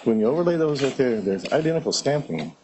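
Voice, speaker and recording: Fast-talking insistent man in court. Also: medium pitch, expressive, dark tone, slight background noise, low-quality recording. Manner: insistent, rapid explaining. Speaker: litigant questioning a witness. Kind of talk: courtroom argument.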